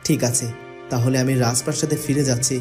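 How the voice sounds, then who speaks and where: A man's voice: medium pitch, fairly even intonation, quick pace, mellow, faint music behind, lightly acted. A man playing a kindly young prince in a fairy-tale cartoon, earnest and full of questions. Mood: earnest, reassuring. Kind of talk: Bengali cartoon voice acting.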